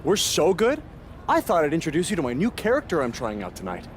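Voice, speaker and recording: An actor playing a cocky, mischievous young wrestler with a teasing, theatrical manner in a video game cutscene. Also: male voice, fairly high pitch, wildly swooping pitch, quick pace, slight background noise. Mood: smug. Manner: teasing, hammy.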